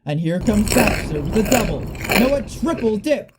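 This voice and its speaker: A woman's voice: medium pitch, very animated, normal speed, heavy background noise, natural voice. A young woman playing a male play-by-play sports announcer in a comic radio play, with dramatic emphasis. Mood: excited, amazed. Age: young adult. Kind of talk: parody live sports commentary in a radio play.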